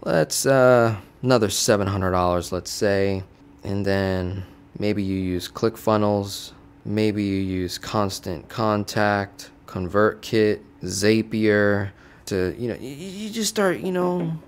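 Young male finance coach, lowish voice, conversational teaching on a studio mic. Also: slow pace, clear, resonant tone. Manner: casual, conversational, keeps asking "right?". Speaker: finance educator. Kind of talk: whiteboard finance lesson. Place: home studio with lights. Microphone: boom-arm studio mic.

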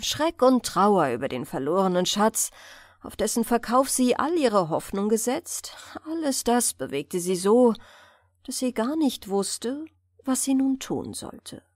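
Slow, expressive woman storyteller. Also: a little high, dry recording, studio quality. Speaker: audiobook narrator. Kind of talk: scripted fairy-tale narration, bedtime storytelling.

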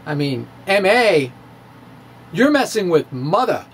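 A middle-aged man giving a dramatic, emphatic physics lecture to camera in a halting, theatrical delivery. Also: high voice, very animated, slight background noise. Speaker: science video blogger. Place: indoor room with bookshelves. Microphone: handheld-style microphone on a stand, close in front.

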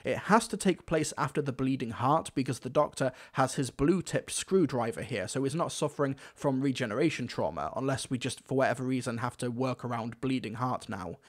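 Male reviewer, scripted narration, mid-pitched voice, measured commentary.

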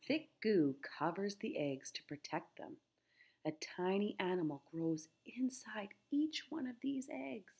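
Slow-reading, slightly husky woman teacher. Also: medium pitch, lively intonation, a little mellow. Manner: simple, deliberate phrasing, addressing young listeners with questions. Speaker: teacher reading aloud to children. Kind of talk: read-aloud of a children's nature book with questions to listeners.

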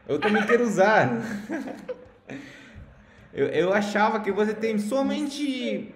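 A man's voice: sits high, expressive, slow, reverberant, slight background noise. Playful young man chatting on webcam. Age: 20s.

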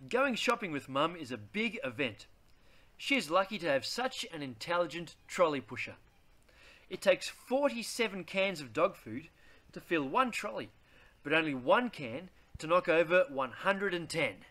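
A man, reading a children's picture book aloud in a deliberate, theatrical way. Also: fairly high pitch, very animated, a bit bright. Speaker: read-aloud host.

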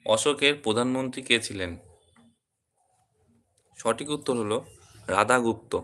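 A man with a bright, forceful voice presents an exam quiz lesson. He speaks at a middling pitch and sets out each item in short, separate phrases, like a teacher. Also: moderate pace. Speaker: quiz teacher at a coaching centre. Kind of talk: quiz lesson, reading questions and answers.

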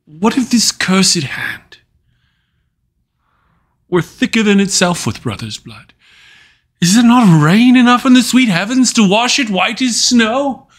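Guy acting guilty usurper king praying, slow heavy shakespeare soliloquy, tormented and pleading. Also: high voice, lively intonation. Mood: anguished pleading. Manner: dramatic, deliberate delivery with weighty pauses. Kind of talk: classical Shakespearean stage soliloquy.

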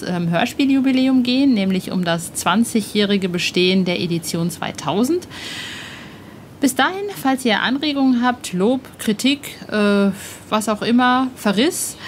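A woman hosting a podcast in casual, slangy and enthusiastic conversation. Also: medium pitch. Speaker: podcast host, interviewer. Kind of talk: casual interview chat. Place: outdoors on a bench in a cemetery. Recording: clear outdoor background noise.

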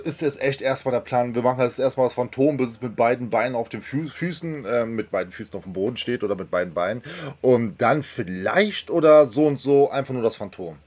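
A man acts as interviewer in an informal, conversational fan chat. His voice is mellow and relaxed, at a medium pitch. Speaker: interviewer, comics video host.

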